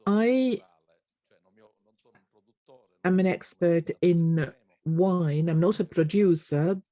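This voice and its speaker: A conference interpreter giving a simultaneous English rendering of a panel discussion, halting often with fillers and pauses. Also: mid-range voice, mellow, dry recording.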